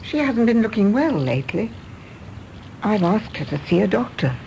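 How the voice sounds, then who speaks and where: Radio drama role: a poised British society lady, sympathetic and frank, mid-pitched with lively intonation. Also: moderate pace, vocal fry, a little hiss or hum, poor audio. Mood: concerned, wistful. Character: sympathetic society woman with a scandalous past, candid. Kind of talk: British radio play acting.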